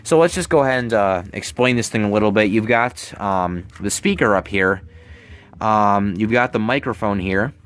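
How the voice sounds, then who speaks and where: A young man giving a casual show-and-tell, talking haltingly and often repeating his words. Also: fairly low pitch. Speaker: hobbyist presenter. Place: bedroom, indoors. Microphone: handheld camera, close behind it.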